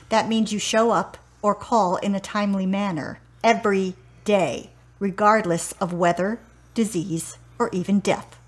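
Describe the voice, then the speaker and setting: An actress playing a stern, demanding boss in a radio drama, speaking in a clipped, formal, emphatic way. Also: female voice, medium pitch, close to an everyday voice.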